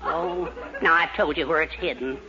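A man's voice: on the high side, normal speed, crowd noise, close to an everyday voice. An actor playing a meek, fussy, blustering middle-aged husband and father in a 1940s radio comedy. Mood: fretful. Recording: muffled, hissy 1940s radio recording.

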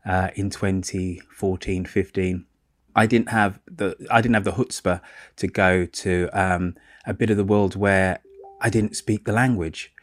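Chatty podcast host guy, kinda low voice, dry close sound. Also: steady tone, unhurried, dry recording. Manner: chatty, self-deprecating, rambling questions. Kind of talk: podcast interview over a remote call.

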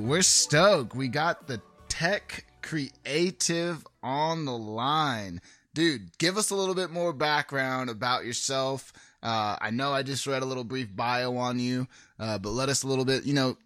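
A man's voice: mid-range voice, fairly bright tone, no room sound, clean studio sound. A man hosting a podcast interview in a casual, chummy way. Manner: informal, chummy, calls guest "dude" and "brother". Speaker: podcast host.

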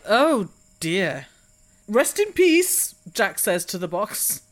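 A woman's voice: medium pitch, very animated, slow. A woman playing a tabletop roleplaying game, narrating her character in a theatrical, learned manner. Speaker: player.

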